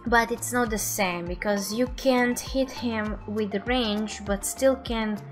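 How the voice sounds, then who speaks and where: Slow, halting young woman reacting casually. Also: medium pitch, faint music behind. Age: 20s. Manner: hesitant, halting phrasing. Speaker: streamer reacting to game videos. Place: small room at home.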